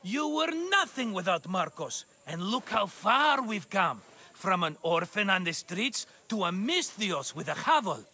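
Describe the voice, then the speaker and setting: An actor playing a middle-aged schemer in a video game, glib, theatrical and ingratiating. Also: male voice, high-pitched, big pitch swings, unhurried, sharp tone, heavily acted. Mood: wistful, persuasive. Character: wheedling, theatrical middle-aged Greek schemer, slippery and cheerful. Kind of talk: video game cutscene acting.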